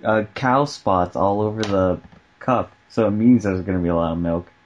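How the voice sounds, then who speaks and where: A man's voice: lowish voice, moderate pace. A man commenting casually on a film while chatting with friends. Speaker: co-host of a movie riffing show. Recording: dull, slightly noisy voice-chat audio.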